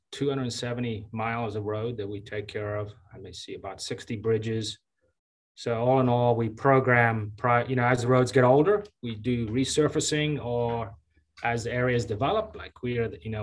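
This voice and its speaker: A man in his fifties from Zambia, a county engineer giving relaxed, chatty interview answers with many fillers and restarts. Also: medium pitch, moderate pace. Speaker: county engineer and public works director.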